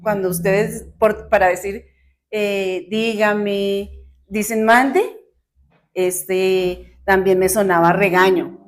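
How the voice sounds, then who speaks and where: Mujer colombiana, charla animada y cálida. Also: female voice, mid-range voice, takes it slowly. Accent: Bogotá Colombian. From Colombia, Bogotá. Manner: chatty, animated, laughs easily, self-correcting. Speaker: guest. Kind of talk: casual chat.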